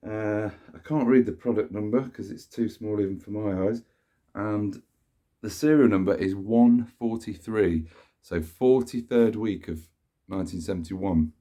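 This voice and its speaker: Low, dark-voiced man with a stuffy cold. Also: a little slow. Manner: chatty, meandering, self-correcting. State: nasal from a cold. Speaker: vacuum cleaner collector. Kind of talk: casual show-and-tell demonstration. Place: sunny room indoors.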